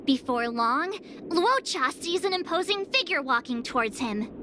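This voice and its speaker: A woman's voice: sits high, lively intonation, moderate pace. An actress playing an imaginative, eager girl in a video game, explaining things with theatrical flair. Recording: game audio with clear background noise.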